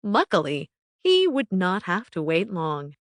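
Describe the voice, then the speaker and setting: A woman narrating a children's bedtime story from a script in an expressive, careful storytelling manner. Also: fairly low pitch, a little slow, no room sound, studio quality. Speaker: audiobook narrator.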